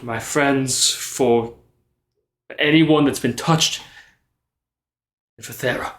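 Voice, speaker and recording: A man's voice: mid-range voice, fairly even intonation, a bit bright. Man playing an earnest bard adventurer, echoing room. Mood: earnest, resolved. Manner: earnest, halting, insistent. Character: remorseful, determined bard adventurer consoling a companion.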